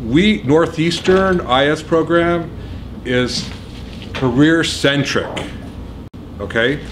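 A middle-aged man giving an energetic orientation speech in a university lecture room, often checking in with "okay?" and "right?". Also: fairly high pitch, slow, background noise. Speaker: program administrator.

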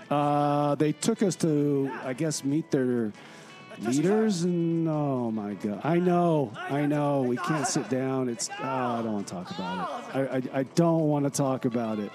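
A man's voice: mid-range voice, expressive, measured pace, natural voice. A man playing a gossipy, laid-back time traveler in a radio comedy skit, casual and confiding. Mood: aggrieved. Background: music.

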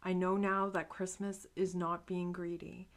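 A woman's voice: fairly low pitch, little pitch movement, measured pace. A woman voicing a male cookie-sprinkle thief in a storybook, sounding sheepish and sorry. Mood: humbled.

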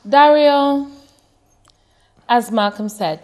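Slow, measured woman judge. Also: on the high side, a little mellow. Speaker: talent show judge. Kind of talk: judge's critique. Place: studio judging table.

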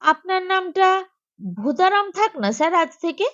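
A woman's voice: very high-pitched, sing-song, normal speed, fairly bright tone, lo-fi sound, voice pushed up, heavily acted. A woman voicing a cheeky, eager, impudent schoolboy pupil in a humorous audio story.

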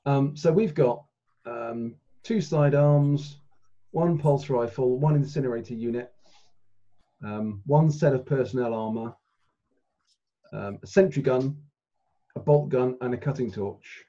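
A man's voice: mid-range voice, a little slow, long pauses, mellow. A man playing a tabletop game over a call, talking casually in character and out. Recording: dull, muffled call audio.

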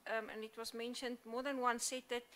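A woman's voice: fairly high pitch, flat delivery, fairly quick, background noise. A woman giving context at a university debate, hesitant with frequent fillers.

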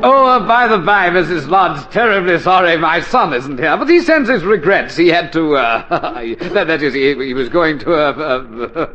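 A man's voice: fairly high pitch, expressive, normal speed, few pauses, bright, forceful tone. An actor playing a pretentious middle-aged father who hems and stammers nervously in 1940s radio sitcom style. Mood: nervous, ingratiating. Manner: hemming, stammering, nervous false gentility. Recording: muffled 1940s radio recording.

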